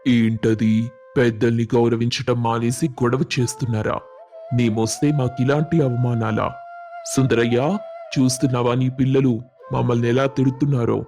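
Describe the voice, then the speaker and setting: A man voicing an older village family elder, measured and reproachful, in a moral story cartoon. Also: medium pitch, flat delivery, a little mellow, faint music behind, close to an everyday voice. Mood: offended.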